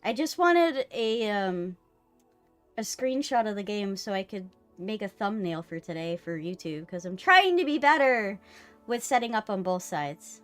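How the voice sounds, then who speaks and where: Lively, playful woman streamer. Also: medium pitch, unhurried, soft background music. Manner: playful, teasing. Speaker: streamer hosting a co-op visual novel playthrough. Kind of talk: casual livestream banter.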